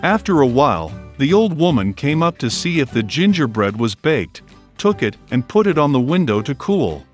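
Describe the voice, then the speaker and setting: Guy telling a bedtime fairy tale for kids, soft music in the background. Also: mid-range voice, very animated, moderate pace. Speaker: storyteller. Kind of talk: scripted fairy-tale narration for children.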